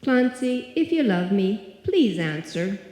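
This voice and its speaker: A woman acts out an imperious older Irish landlady for a radio comedy. Her voice is mellow and swings widely, crooning and theatrical. It rings in an echoey live hall. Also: medium pitch, very animated, slow pace. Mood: summoning, crooning. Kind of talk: live old-time radio comedy acting.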